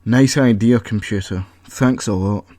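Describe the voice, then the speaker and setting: A man's voice: lowish voice, a little slow, dark tone, no room sound, studio quality. A man voicing a bitter, distrustful male outcast in an audio story, grumbling and sarcastic. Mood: sarcastic, annoyed. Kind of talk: audio story character acting.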